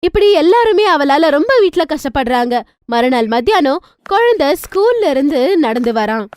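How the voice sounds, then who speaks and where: A woman narrates a scripted cartoon moral story. Her voice is very high-pitched, and the recording is close and dry. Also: big pitch swings, normal speed, darkish tone, no echo.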